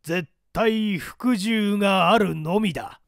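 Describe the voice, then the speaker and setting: Guy playing a rigid party zealot, slow n emphatic, high voice, audiobook drama. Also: gravelly, no room sound, clean studio sound, full character voice. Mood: fervent. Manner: emphatic, declamatory, formal. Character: rigid, zealous male party loyalist preaching iron discipline. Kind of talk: dramatic audiobook character dialogue.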